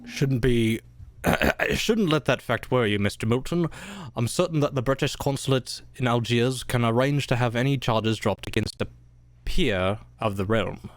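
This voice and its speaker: A man playing a formal, composed gentleman detective in a comic radio play, slightly halting. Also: medium pitch, no room sound, close to an everyday voice. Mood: formal, reassuring.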